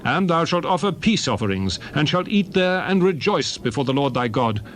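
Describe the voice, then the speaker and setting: A man narrating scripture as a dramatized reading, solemn, measured and declamatory. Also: fairly high pitch, very animated, fairly bright tone, a little hiss or hum. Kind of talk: dramatized Bible reading in archaic English.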